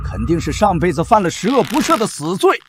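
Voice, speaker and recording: An actor playing a scheming, arrogant old Taoist priest who sneers with contempt in a costume drama. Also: male voice, sits high, very animated, fairly quick, music in the background. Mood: sneering. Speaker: villain.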